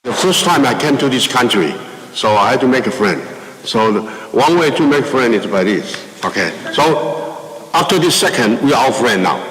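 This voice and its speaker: A man's voice: mid-range voice, lively intonation, normal speed, a bit bright. A man from Taiwan with a Taiwanese accent, opening a conference lecture in a casual, joking, chatty way. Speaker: guest lecturer. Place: lecture hall. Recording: echoey lecture hall, faint noise.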